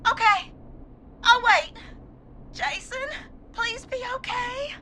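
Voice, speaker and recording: A woman's voice: very high voice, takes it slowly, pushed and bright, slight background noise, full character voice. An actress playing a frightened young woman in a video game, pleading and anxious as she tends someone injured. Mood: pleading, scared.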